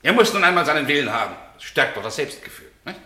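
An actor in a German radio play as a proud, resentful stage star, speaking in a pointed, articulate way. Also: male voice, on the high side, very animated, fast, sharp tone. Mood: bitter, sarcastic. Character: resentful, proud male stage actor defying his partner. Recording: roomy, echoing studio sound.

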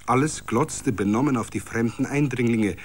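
A man reading a literary story as a narrator, in a vivid, dramatic, expressive way. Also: mid-range voice, normal speed. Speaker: narrator, reader.

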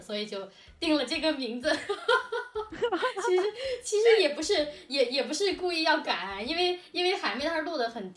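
A woman's voice: high-pitched, a bit bright, roomy sound, far from the mic. A talkative woman chatting casually about production work, explaining things at length and correcting herself as she goes. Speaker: audio drama production team member and lyricist. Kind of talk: casual behind-the-scenes chat.

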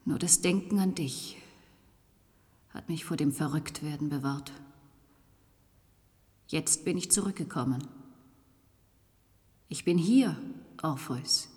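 A woman's voice: low voice, takes it slowly, pauses often, mellow. An actress playing a haunted, pleading woman back from space in a German radio play, dramatic and intense, with a long reverb on her voice.

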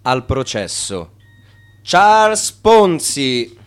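An actor in a radio drama playing a stern court announcer and formal narrator, reading from a script. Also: male voice, mid-range voice, big pitch swings, extremely slow, clear, resonant tone, faint music behind. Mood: stern, declaiming.

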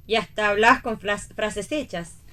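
An actress playing a spirited, sharp-tongued, defiantly cheerful woman who teases directly in a read radio play. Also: medium pitch, a little slow. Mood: teasing, insistent. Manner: teasing, direct, emphatic. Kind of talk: staged reading of a radio play.

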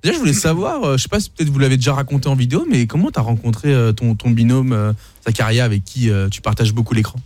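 A man's voice: mid-range voice, lively intonation, fast talker, few pauses, a little mellow, no room sound. A man hosting an interview, asking questions in a casual, informal and slangy way. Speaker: interviewer, host.

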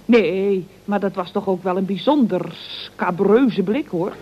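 Woman playing a cheeky, unhurried office receptionist, muffled. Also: medium pitch, warm tone, lightly acted. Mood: playful. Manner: mock-formal, flowery, teasing. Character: cheeky, dry-witted female office receptionist at builders' firm. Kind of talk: 1970s Dutch radio comedy acting. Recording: dull old radio recording.

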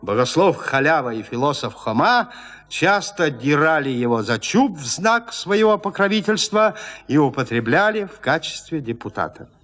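A man narrating classic literary prose as a storyteller, expressively and with deliberate pauses between phrases. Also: a little high, sing-song, a bit bright.

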